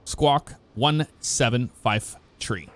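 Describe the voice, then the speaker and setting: A man speaks as a ground controller in a flight simulation. He delivers standard air traffic phraseology in short, procedural chunks, with a medium-pitched voice. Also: takes it slowly.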